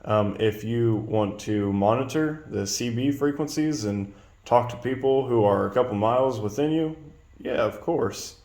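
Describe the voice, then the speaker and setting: A man's voice: medium pitch, a little slow, darkish tone. A man giving a casual product demonstration, explaining things in a plain-spoken way as a reviewer. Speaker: presenter reviewing radio gear. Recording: clear background noise.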